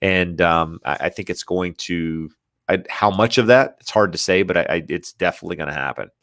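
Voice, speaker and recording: A very low-pitched man sounding stuffed-up and nasal, talking loosely through a live Q&A. He hesitates, restarts and fills gaps with "um" as he picks viewer questions. The sound is dry and close. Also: fairly quick, fairly bright tone, no echo. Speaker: real estate livestream host. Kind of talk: casual live Q&A.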